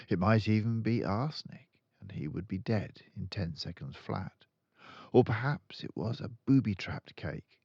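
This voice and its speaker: An older man narrating a children's story aloud in an expressive storytelling style. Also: deep voice, no room sound, muffled recording. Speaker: storyteller reading a children's book.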